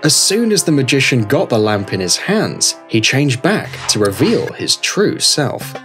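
Male storybook narrator: middle register, lively intonation, scripted, music bed. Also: mid-range voice, measured pace. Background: background music.

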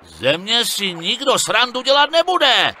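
Dim-witted blustering sailor. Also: male voice, extremely high pitch, very animated, bright, forceful tone, a little hiss or hum, exaggerated voice. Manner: slow, blustering, easily flustered. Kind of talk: adventure game voice acting.

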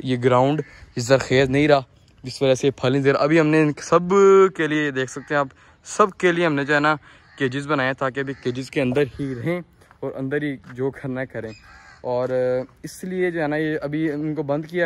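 A young man with a Pakistani accent, talking casually and haltingly to viewers as a farm-owning vlogger, outdoors on a phone. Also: mid-range voice. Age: young adult. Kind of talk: casual vlog tour, explaining to viewers. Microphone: handheld phone at arm's length.

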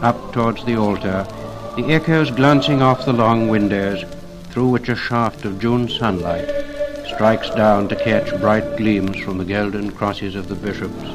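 Male narrator, British RP, low register, reverent and lyrical 1950s commemorative script, over prominent music on a vintage record. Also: unhurried, rough recording. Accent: British received pronunciation. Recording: 1950 LP recording, music behind voice.

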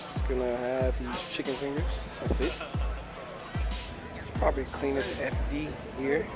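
A man's voice: medium pitch, little pitch movement, normal speed. A young man hosting a casual car vlog, chatting in a laid-back way with frequent ums, filmed on a handheld camera at an outdoor event and in his car. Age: young adult. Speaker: vlogger host. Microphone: handheld camera held close. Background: beat music.

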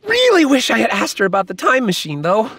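A woman's voice: a little high, wildly swooping pitch, brisk, a bit bright, breathy edge, no room sound, heavily acted. A woman playing a cheeky, irreverent young schemer in a video game, breezy and teasing in manner. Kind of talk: animated video game voice acting. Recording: music under the voice. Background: music.

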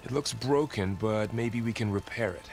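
An actor playing an earnest, steady, curious male warrior companion in a naturalistic game cutscene. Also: lowish voice, moderate pace, gravelly. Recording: music behind dialogue. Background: music.

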